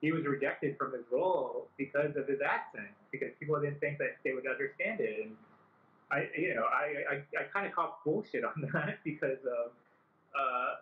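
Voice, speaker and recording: A man talking as a guest film director in an interview, earnest and full of "like" fillers. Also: medium pitch. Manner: earnest, many "like" fillers and restarts. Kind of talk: interview conversation. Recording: echoey, dull-sounding recording.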